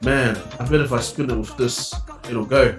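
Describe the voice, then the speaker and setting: Casting: relaxed male DJ from New Zealand, loose chatty delivery, recorded off-mic in a roomy space with music behind. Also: medium pitch, moderate pace, recorded from a distance. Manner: laid-back, laughs often, many fillers. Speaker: DJ and music producer. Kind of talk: casual interview chat.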